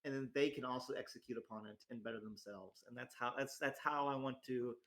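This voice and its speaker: A young man in his twenties hosts his own casual talk, rambling and self-deprecating. He keeps circling back and restarting his sentences with lots of fillers, and his voice sits at an ordinary middle pitch for a man. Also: fairly quick, a bit bright. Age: 20s. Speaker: host. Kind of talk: casual solo chat. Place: home in a rural area.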